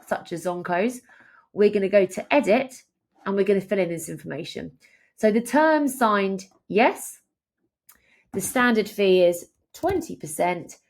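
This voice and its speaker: Female tutorial presenter, lower-pitched with noticeable vocal fry, instructional step-by-step walkthrough delivery. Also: darkish tone. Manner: step-by-step explaining.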